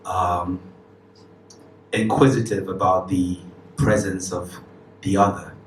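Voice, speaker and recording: Cameroonian-born male poet with a low voice, rhythmic live delivery, recorded from afar with faint ambient noise. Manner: rhythmic, emphatic delivery with casual asides. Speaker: spoken word poet. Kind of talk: spoken word poetry performance. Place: live stage with audience. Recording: roomy, distant live recording.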